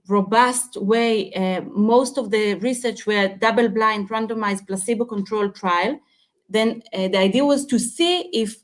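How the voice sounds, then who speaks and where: A woman from Israel with an Israeli accent, a guest researcher answering interview questions over a video call, pausing often to search for words. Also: on the high side.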